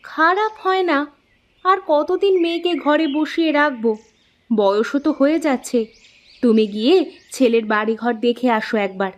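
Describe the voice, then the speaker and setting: A woman's voice: high-pitched, lively intonation, measured pace. A woman voicing a caring, fussing village woman in a moral story cartoon. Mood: practical.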